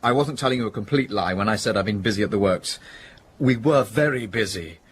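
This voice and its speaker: Male actor for well-bred gentleman, deep register, halting and contrite, radio drama, slight background noise. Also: lightly acted. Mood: frank, subdued. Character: contrite upper-class young businessman confessing an affair. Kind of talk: period radio drama acting.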